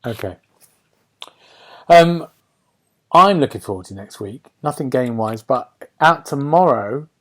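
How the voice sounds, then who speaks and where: A man hosting a podcast, chatting casually about games and television in a rambling, enthusiastic way. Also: mid-range voice. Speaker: podcast host.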